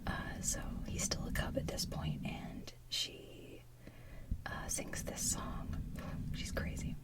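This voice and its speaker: Softly whispering woman, ASMR host. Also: slow pace, far from the mic. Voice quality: soft whisper. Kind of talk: ASMR, casual chat.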